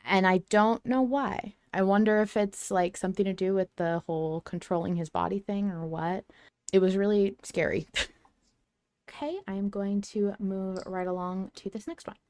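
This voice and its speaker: A woman's voice: medium pitch, fairly even intonation, normal speed, a little mellow, clean tone, no room sound. A woman hosting a podcast, talking conversationally as she presents listener submissions.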